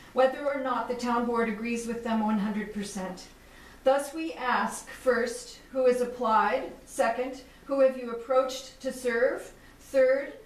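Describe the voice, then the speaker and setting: A woman with a somewhat high voice reads a prepared statement to a town board, firm and deliberate. She stands away from the mic in an echoey meeting room, with a faint hiss underneath. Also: far from the mic. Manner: firm and deliberate, listing demands point by point. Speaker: resident reading a statement at public comment. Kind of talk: formal prepared statement read aloud.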